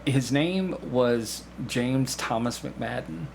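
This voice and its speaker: A man's voice: medium pitch, slow pace, creaky, darkish tone. A middle-aged man gives a casual interview. He answers in an anecdotal, conversational way, often relaying what people said. There is some background noise on the recording. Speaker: podcast guest, amateur stage actor.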